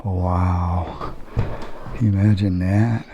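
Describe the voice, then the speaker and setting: A man's voice: low voice, steady tone, slow, background noise. A man vlogging his motorcycle trip, chatting casually to viewers and joking with a laugh, his throat dry. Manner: jokey, laughs often, talks to viewers. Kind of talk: casual vlog chat. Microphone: camera-mounted mic. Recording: music playing underneath.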